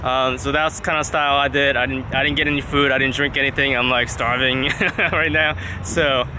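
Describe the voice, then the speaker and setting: A man in his thirties from Maryland, chatting excitedly to a handheld camera in a casual travel vlog. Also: mid-range voice, normal speed, noisy background. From Maryland, USA. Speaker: vlogger. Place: outdoors at night and airports. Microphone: handheld camera at arm's length.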